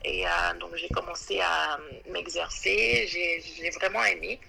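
A woman's voice: lowish voice, a little slow, vocal fry, bright, forceful tone, husky, soft background music, poor audio. A woman from Ivory Coast, a guest on an interview podcast, talking in a hesitant way with many fillers and restarts. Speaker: podcast guest, web designer. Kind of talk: casual interview. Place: New York.

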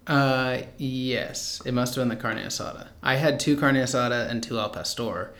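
A man's voice: medium pitch, moderate pace, a bit bright. A young man co-hosting a podcast in casual chat, laid-back and matter-of-fact with dry quips. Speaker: podcast co-host. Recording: roomy echo.

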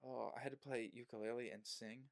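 A man's voice: mid-range voice, steady tone, a little fast, vocal fry. Rambling, joking young man. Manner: rambling, self-interrupting, joking. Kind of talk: casual chat.

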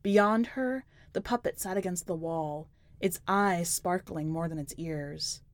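A woman's voice: lowish voice, a little slow, no echo. Woman narrating a dramatic story, dry recording. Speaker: podcast editor reading a short story.

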